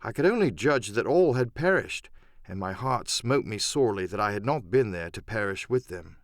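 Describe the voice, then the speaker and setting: Expressive male audiobook narrator, dry sound. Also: lowish voice, moderate pace, dry recording. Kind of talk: dramatic first-person scripted narration.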